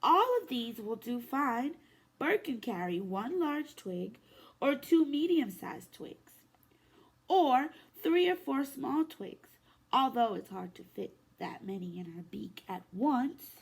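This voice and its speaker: A woman preschool teacher reading a picture book aloud to young children in an expressive, sing-song way. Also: on the high side, slow pace, vocal fry, husky. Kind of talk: children's story time read-aloud.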